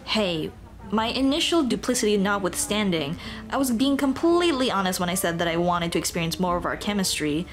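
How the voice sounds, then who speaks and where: A woman playing a shy, earnest Dullahan schoolteacher in an ASMR roleplay, speaking softly and expressively. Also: medium pitch, very animated, fairly quick, natural voice. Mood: earnest, warm. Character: shy, earnest female Dullahan teacher, headless monster girl on a date.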